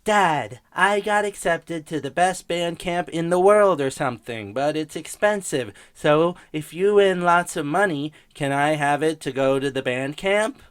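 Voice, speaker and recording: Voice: medium pitch, measured pace, few pauses, clear, resonant tone, dry recording, studio quality. A comedy co-performer reading parody cartoon lines in a casual, flippant, mock-dramatic way. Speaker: co-performer in a comedy recap show. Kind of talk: casual, exaggerated amateur read of a cartoon parody.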